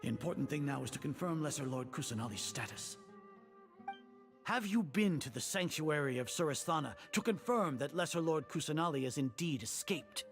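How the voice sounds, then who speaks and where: Imperious, agitated grand sage, swooping pitch. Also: male voice, lively intonation, fairly quick, a bit bright, soft background music. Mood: brooding, puzzled. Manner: commanding, formal, questioning himself aloud. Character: imperious aging male grand sage, suspicious, increasingly rattled. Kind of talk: video game voice acting.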